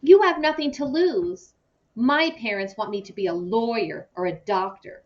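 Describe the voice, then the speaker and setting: A woman's voice: on the high side, expressive, normal speed, pitched above the performer's own voice, close to an everyday voice. A woman voicing a frustrated, emotional schoolboy in a children's novel read aloud. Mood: exploding, upset. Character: frustrated, emotional schoolboy under parental pressure. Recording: distant, muffled microphone.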